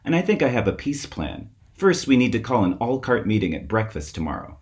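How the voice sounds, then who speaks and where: A man's voice: lowish voice, very animated, a little fast, darkish tone. A man voicing an eager, earnest schoolboy in a children's storybook read-aloud. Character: eager schoolboy Kip, earnest young schemer.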